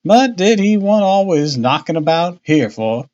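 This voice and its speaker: A man's voice: high-pitched, very animated, unhurried, darkish tone, muffled recording, voice pushed up. A man voicing a harried, talkative working-class Victorian woman from a storybook, anxious and exclamatory in manner. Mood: chatty, flustered. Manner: chattering, exclamatory, colloquial.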